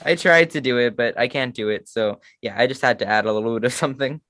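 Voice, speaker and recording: Easygoing man co-host, raspy voice with a high edge, close dry sound. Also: fast talker, a bit bright, no room sound. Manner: easygoing, self-deprecating. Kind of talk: casual fan chat.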